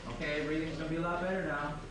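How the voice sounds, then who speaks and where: A man acts out a terse, businesslike ER doctor in an amateur medical drama. He speaks quickly. He is far from the microphone in a noisy, very echoey room. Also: a little high, steady tone, close to an everyday voice. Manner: terse, directing.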